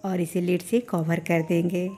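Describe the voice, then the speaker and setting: A woman hosting a cooking channel, giving calm step-by-step recipe instructions as a voice-over. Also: on the low side, unhurried, faint music behind.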